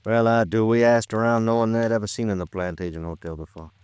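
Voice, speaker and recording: An actor plays an American male police lieutenant in an old radio detective show. His low voice is clear and resonant, with a dry, close sound. He probes skeptically in plain, folksy speech, dropping words and endings. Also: little pitch movement, quick pace, darkish tone, dry recording, close to an everyday voice. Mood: weary, businesslike. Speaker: police detective questioning a witness. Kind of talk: old-time radio noir detective drama acting.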